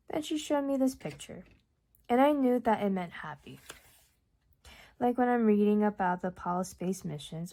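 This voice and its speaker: A young woman whose first language is Urdu, reading a novel aloud in a calm, measured way, dropping to near-whispers at times. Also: medium pitch. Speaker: audiobook reader. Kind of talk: audiobook narration.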